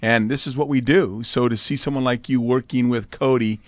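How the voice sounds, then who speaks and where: A man's voice: medium pitch, steady tone, moderate pace, dry recording, lo-fi sound. A man who is a physical therapist hosting a radio show, enthusiastic and full of agreement. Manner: affirming, enthusiastic. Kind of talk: radio interview.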